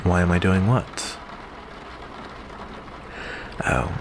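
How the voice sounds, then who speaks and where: Man playing a low, unhurried vampire gentleman. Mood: gentle, courteous. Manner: unhurried, genteel, uses endearments. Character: courteous, smooth male vampire host with hidden predatory menace. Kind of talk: ASMR roleplay, soft close monologue. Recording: clear steady background noise.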